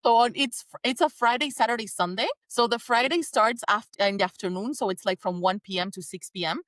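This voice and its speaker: A woman's voice: on the high side, brisk, a bit bright, dry recording. A woman who is a native Spanish speaker, chatting enthusiastically in a casual interview as the guest. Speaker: podcast guest, scientist and children's book author. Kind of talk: casual video interview chat.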